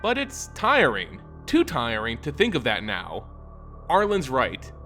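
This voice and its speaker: A man narrating a scripted fantasy story in a dramatic, solemn and measured storytelling manner. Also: mid-range voice, huge pitch swings, bright, forceful tone, faint music behind. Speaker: lore narrator.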